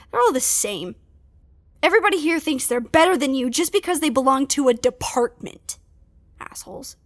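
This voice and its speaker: A woman's voice: high voice, a bit bright. An actress playing a small, hot-tempered female field agent, venting sulkily and indignantly in an audio drama monologue. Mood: bitter, angry. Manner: sulky, sweary, indignant venting.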